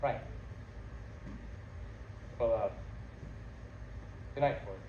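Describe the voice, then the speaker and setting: A woman's voice: mid-range voice, lively intonation, brisk, far from the mic, highs cut off, close to an everyday voice. A woman acting a practical young newlywed husband in a stage comedy-drama, dry, sarcastic and exasperated. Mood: incredulous, resigned. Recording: echoey room with background noise.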